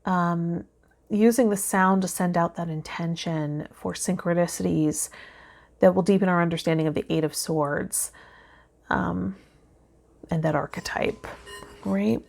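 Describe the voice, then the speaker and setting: Female tarot vlog host, lowish voice, spiritual guidance addressed straight to viewers. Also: steady tone, unhurried, gravelly, long pauses, darkish tone. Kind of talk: spiritual guidance, part read aloud, part casual talk to viewers.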